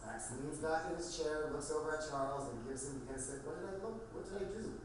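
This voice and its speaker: Male narrator, stage directions, scripted delivery, mid-pitched, distant mic in a highly reverberant room. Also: fast, background noise. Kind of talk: scripted narration at a table read.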